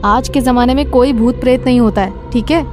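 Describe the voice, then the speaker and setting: An actress as a skeptical young woman, high voice, dismissive, chiding, cartoon dub, clear music behind. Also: fairly quick, close to an everyday voice. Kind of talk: Hindi cartoon horror dubbing.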